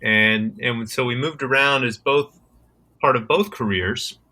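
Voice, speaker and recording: Bright-voiced American man, halting speech. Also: medium pitch. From United States. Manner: hesitant, often repeats words while finding his phrasing. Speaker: guest, criminology researcher and conservationist. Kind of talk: casual interview answers.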